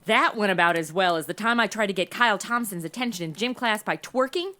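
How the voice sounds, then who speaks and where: A woman playing a sassy, sarcastic modern American young woman in a comedy audio drama, speaking in animated slang. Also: medium pitch, quick pace, natural voice. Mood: excited, silly. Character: sassy, bored modern young woman stuck in Old West.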